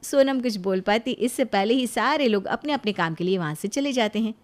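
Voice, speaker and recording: A woman's voice: a little high, lively intonation, brisk. A woman reading scripted narration for a moral family story.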